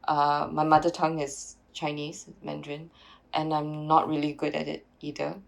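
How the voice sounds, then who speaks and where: A woman's voice: sits low, completely flat delivery, normal speed, vocal fry. A woman, a curator answering interview questions reflectively, with many restarts and fillers. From Singapore. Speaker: curator and PhD researcher.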